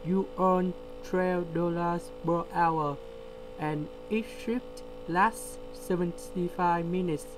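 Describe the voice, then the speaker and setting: A man with a delivery, explaining a word problem step by step in a screen-recorded lesson. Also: sits high. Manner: slow, step-by-step explaining with pauses. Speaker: tutor. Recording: steady electrical hum behind.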